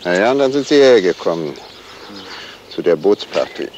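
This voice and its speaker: Polite evasive detective type, real deep voice, noisy old radio play. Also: male voice, expressive, darkish tone. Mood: courteous. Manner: understated, courteous, deliberate. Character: modest, evasive, polite amateur sleuth. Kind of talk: 1970s German radio crime drama acting.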